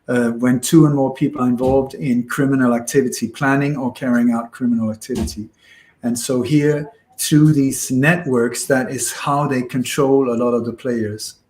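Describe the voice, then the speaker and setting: A man's voice: medium pitch, darkish tone. A man from Denmark and Sweden with a Scandinavian accent, explaining at length in an interview and repeating phrases for emphasis. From born in Denmark, grew up in Sweden. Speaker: interview guest. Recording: echoey room sound.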